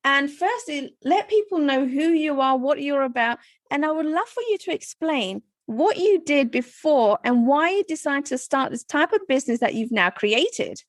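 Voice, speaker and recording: A woman hosting a business podcast, upbeat and emphatic with quick asides. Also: sits high, lively intonation, dry recording. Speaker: podcast host. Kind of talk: podcast interview.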